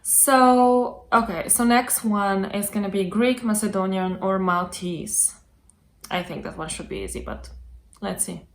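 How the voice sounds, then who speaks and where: A woman's voice: mid-range voice, fairly even intonation, measured pace, slight background noise. A woman hosting a casual interview over a video call, chatty and filling her talk with yeah and like. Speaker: host.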